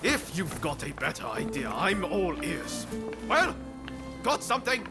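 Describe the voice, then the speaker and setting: A man's voice: high-pitched, wildly swooping pitch, unhurried, exaggerated voice. A man playing a hot-headed, loyal rebel swordsman in a video game, heated and defiant. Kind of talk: video game cutscene acting. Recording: music behind the dialogue.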